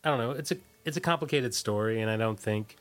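A middle-aged man from Washington DC answering casually as an interview guest, tentative and halting. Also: medium pitch. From Washington DC, United States. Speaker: interview guest, punk musician.

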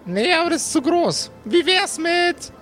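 A man's voice: extremely high pitch, expressive, a little slow, a bit bright, soft background music, voice pushed up, heavily acted. A man voicing a helpful, tinkering companion in a tabletop game, pondering and hesitant as he talks.

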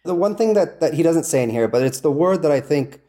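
A man's voice: a little high, expressive, brisk, warm tone. A man in casual, heartfelt conversation, earnest and emphatic, often repeating his phrases to make a point.

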